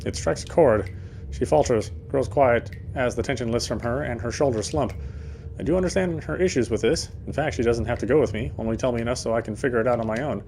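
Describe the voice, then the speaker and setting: A man narrates a horror visual novel as he plays, reading dramatically at a quick clip. His voice is medium in pitch, with faint noise and game ambience behind it. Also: darkish tone. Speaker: let's player reading game text aloud.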